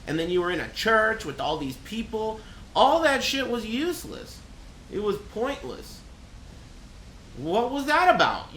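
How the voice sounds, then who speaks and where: A man's voice: high-pitched, fairly bright tone. A man giving casual, sarcastic and exasperated game commentary as a live streamer, swearing freely. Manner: blunt, exasperated, profane, sarcastic. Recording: roomy echo, faint background noise.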